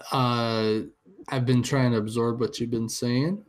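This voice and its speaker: A man co-hosting a podcast in casual chat, measured and a bit hesitant as he searches for words. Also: medium pitch, steady tone, a little hiss or hum. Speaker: podcast co-host.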